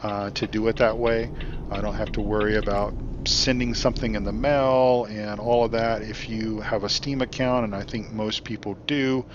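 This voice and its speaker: A man hosting his gaming channel, chatting casually while he plays, rambling with many ums and uhs, his voice getting hoarse. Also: on the low side, fairly even intonation, normal speed, highs cut off, noisy background. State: losing his voice. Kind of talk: casual commentary while playing. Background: truck engine and road sounds.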